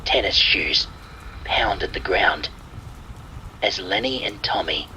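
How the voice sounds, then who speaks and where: Male horror narrator, unhurried, lowish pitch, scripted spooky storytelling, faint rain behind. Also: huge pitch swings, creaky, bright, forceful tone, slight background noise, poor audio. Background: rain ambience.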